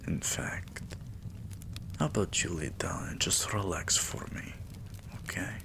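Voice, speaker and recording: A man playing a doting, possessive vampire king in an intimate ASMR roleplay, breathy and soothing, coaxing with pet names. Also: deep voice, expressive, measured pace, bright, forceful tone, exaggerated voice. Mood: whispered, indulgent. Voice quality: breathy, whispery.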